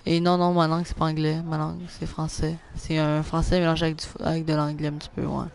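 Excitable gravelly Québécois streamer guy. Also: on the high side, fast. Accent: Québécois French. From Quebec. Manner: excitable, groans and repeats "non" at misses. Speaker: gaming streamer. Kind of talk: live gameplay commentary reading chat.

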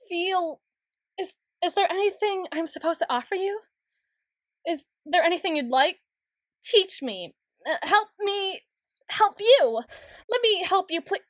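A woman playing a proud, anxious, easily flustered tsundere young woman in an intimate ASMR roleplay, stammering and halting with wordy, formal phrasing. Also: sits high, dry recording, exaggerated voice. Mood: anxious, pleading. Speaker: audio roleplay voice actor.

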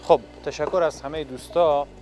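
A man's voice: a little high, steady tone. A man, working as a television reporter and interviewing on location with a handheld microphone in a large factory hall.

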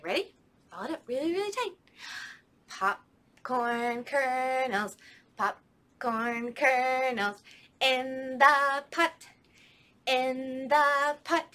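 A woman's voice: on the high side, bright, forceful tone. A young woman, a children's librarian, leading a storytime with rhymes in a sing-song, playful way, recorded indoors in a library by a camera a few steps away.

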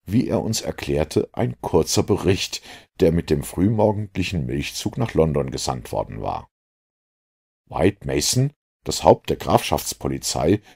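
Deep, gravelly male audiobook narrator. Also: a little mellow. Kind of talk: scripted literary narration.

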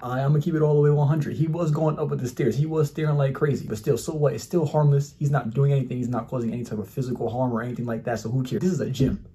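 Fast-talking brash young man. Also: medium pitch, fairly even intonation, warm tone, slight background noise. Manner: brash, boastful, mocking. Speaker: commentary YouTuber. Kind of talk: casual reaction commentary. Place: small indoor room, plain wall.

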